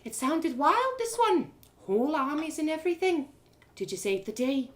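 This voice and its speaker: Village mother played by a female storyteller: high, animated, rustic turns of phrase, fond and nudging. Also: moderate pace. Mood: amused, curious. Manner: teasing, rustic dialect phrasing. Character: cheerful doting village mother, nudging her daughter to marry. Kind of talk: storybook character voice.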